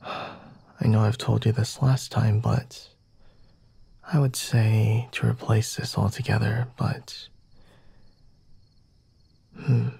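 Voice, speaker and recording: A man voicing a flirty, condescending vampire in an ASMR roleplay, speaking deliberately in a husky half-whisper with sighs and hums. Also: lowish voice, mellow, no echo, heavily acted. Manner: slow, deliberate, formal wording with sighs and hums. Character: flirty, condescending, merciful male vampire, self-assured and teasing.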